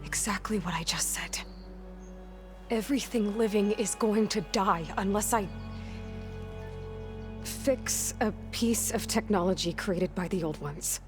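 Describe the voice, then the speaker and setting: A woman's voice: medium pitch, a little slow, long pauses, over music, close to an everyday voice. Woman acting a guarded, determined young game heroine. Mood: grave, urgent. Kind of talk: video game cutscene acting.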